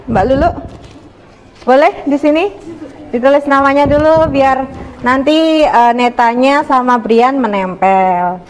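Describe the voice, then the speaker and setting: A woman coaches student groups informally as their teacher, gently instructing and often checking with questions. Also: on the high side. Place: indoor school classroom. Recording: echoey room with background noise.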